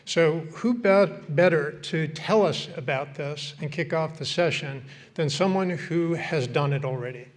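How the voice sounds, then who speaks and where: Guy chairing a session, bit high-pitched, stumbling intro. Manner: halting, many fillers. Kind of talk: introducing a speaker.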